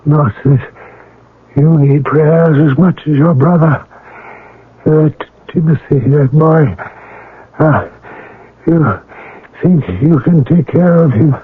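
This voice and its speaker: An actor playing a frail, ailing old father in a radio drama, gentle and worried, speaking haltingly. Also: a little high, husky, exaggerated voice. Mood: feeble, tender. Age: older. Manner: halting, faltering speech. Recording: tinny old radio broadcast.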